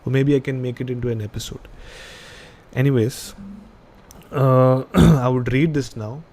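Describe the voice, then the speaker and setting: A man's voice: medium pitch, steady tone, a little slow, darkish tone. A young man from India with an Indian English accent, a bit tipsy, rambling, laughing and reading out chat messages as a live podcast host. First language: not English. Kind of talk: casual live-stream chat and story reading.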